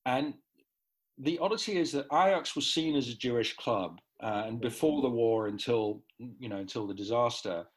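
A man's voice: mid-range voice, normal speed, breathy edge. A middle-aged man giving an articulate, analytical explanation as an interview guest. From grew up in the Netherlands. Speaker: interview guest, football writer.

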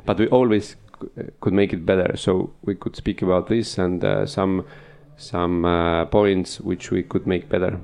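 Gravelly halting male panelist. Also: low voice, little pitch movement, darkish tone. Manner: halting, searching for words.

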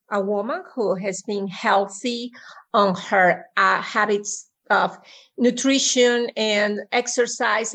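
A middle-aged woman from Mexico with a Mexican Spanish accent, a podcast guest telling her story over a remote call, with frequent pauses and restarts. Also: mid-range voice, fairly bright tone. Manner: halting, many ums and restarts. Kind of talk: personal story in an interview.

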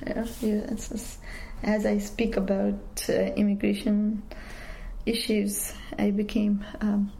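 Woman interviewee, dark gravelly tone, calm and reflective. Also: mid-range voice, slow pace, rough recording. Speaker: interviewee, community worker.

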